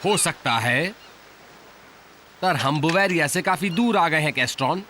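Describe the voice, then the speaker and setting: An actor voicing an excitable man in a cartoon dub. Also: on the high side, unhurried, a little hiss or hum. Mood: speculating.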